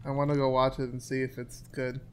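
A man's voice: mid-range voice, level tone, fast, clean tone. A man hosting a casual podcast, bantering, swearing and laughing with friends. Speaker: podcast host. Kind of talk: casual chat.

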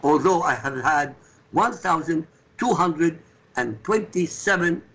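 A man's voice: a little high, expressive, a little slow, a bit bright, husky, poor audio. A man with an Iraqi Arabic accent, a native Arabic speaker, talking as a radio guest in an emphatic, insistent way that lectures and cuts in. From Iraq. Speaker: guest commentator. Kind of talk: heated radio talk.

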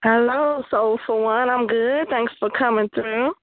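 A woman's voice: fairly high pitch, measured pace, no room sound, phone-quality sound. A woman hosting a call-in poetry radio show, upbeat and hyping up the callers.

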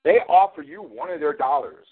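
A man's voice: on the high side, lively intonation, a little fast, slightly rough. A man cutting a sarcastic, mocking wrestling promo, taunting his rival as champion. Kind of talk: trash-talking wrestling promo over a call. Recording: tinny phone line.

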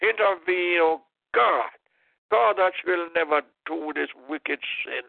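A man's voice: on the high side, a little slow, vocal fry, dry recording. A man leading a fervent intercessory prayer, impassioned, repeating "oh God" and "in the name of Jesus". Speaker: prayer leader. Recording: tinny phone-line prayer call.

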